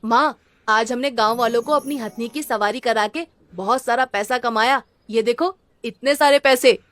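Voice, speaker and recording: Woman voicing an earnest high-voiced village boy. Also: moderate pace, a bit bright, dry recording, lightly acted. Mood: cheerful, proud. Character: earnest young village boy, dutiful older brother. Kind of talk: children's cartoon story voice acting.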